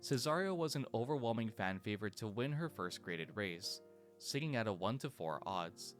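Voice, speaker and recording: A man delivers scripted documentary narration in a steady storytelling manner. His voice sits low, and his intonation rises and falls a lot. Soft music plays behind him. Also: normal speed.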